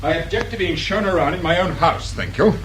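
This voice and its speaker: A man's voice: on the high side, brisk, background noise, natural voice. An actor playing a curt, impatient and anxious father in a radio play, with a British accent and a brusque, demanding manner. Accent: British English.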